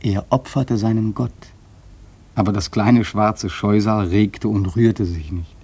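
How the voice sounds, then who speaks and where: Seafarer-narrator for radio drama: husky, mellow, earnest, building suspense with measured telling. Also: male voice, on the low side, fairly even intonation, heavily acted. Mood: tense storytelling. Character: wary, earnest male seafarer telling his tale. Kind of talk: German radio play narration.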